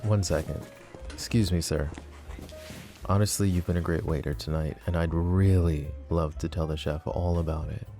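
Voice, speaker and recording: Casting: a man to play a scheming, possessive yandere mafia boss for ASMR roleplay; dark, relaxed low voice, smooth and teasing. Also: normal speed, faint music behind. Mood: polite charm. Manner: smooth, teasing, mock-polite. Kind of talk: intimate ASMR roleplay acting.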